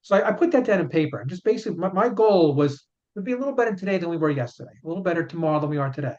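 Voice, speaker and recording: A man's voice: on the high side, lively intonation, racing, a little mellow. A man in his fifties, a chiropractor and author, answering interview questions in an earnest way and often repeating words as he explains. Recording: dull lo-fi sound.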